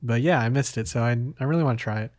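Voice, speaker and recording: A man hosting a podcast, talking casually about games in a hesitant, halting way. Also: mid-range voice, darkish tone, dry recording, clean studio sound. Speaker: podcast host.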